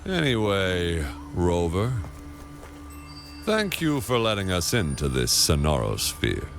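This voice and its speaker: A man's voice: sing-song, slow, vocal fry, soft background music, heavily acted. An actor playing a scheming, mocking male villain in a game cutscene, with a theatrical, taunting delivery. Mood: gloating. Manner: taunting, theatrical swings in pitch. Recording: game score underneath.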